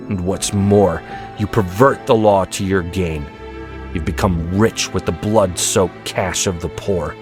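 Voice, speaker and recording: Man playing impassioned, defiant holy prophet. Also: lowish voice. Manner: impassioned, scolding, preacherly. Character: defiant righteous male prophet, passionately rebuking the powerful. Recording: music behind the voice.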